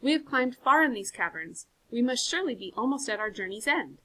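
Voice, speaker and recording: A sturdy, sensible grown man from a storybook, voiced by a woman reading aloud, speaking in firm, urging tones. His voice comes across bright and steady. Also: on the high side, brisk, rough recording. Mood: reasoning.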